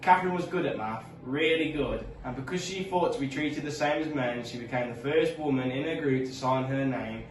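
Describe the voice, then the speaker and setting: A man reads a storybook aloud in a mid-pitched voice. He is recorded from a distance in an echoey room, with faint noise. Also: fairly quick, hardly any pauses. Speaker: school staff member reading aloud.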